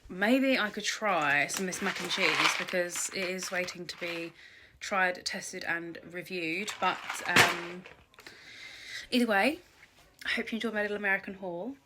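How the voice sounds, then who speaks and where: A young woman with a British accent talks casually to camera, rambling through her purchases with plenty of fillers. She has a cold, so her voice sounds a little nasal and bunged up. Her voice sits slightly on the low side for a woman. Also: a little slow, very noisy. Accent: British English. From England. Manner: chatty, rambling, many "um" and "like". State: blocked nose from a cold. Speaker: vlogger. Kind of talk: casual haul vlog talk to camera.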